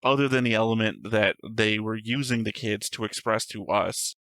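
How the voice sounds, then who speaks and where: A man's voice: on the low side, normal speed, fairly bright tone, dry recording, poor audio. A man co-hosting an anime reaction show, rambling and arguing his points while searching for words. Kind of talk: casual discussion.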